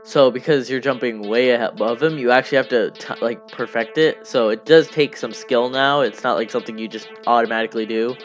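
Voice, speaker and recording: A man giving casual, unscripted devlog commentary in a rambling, halting way, often repeating himself. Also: mid-range voice. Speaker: hobby game developer narrating a devlog. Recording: dull lo-fi mic under music. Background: music.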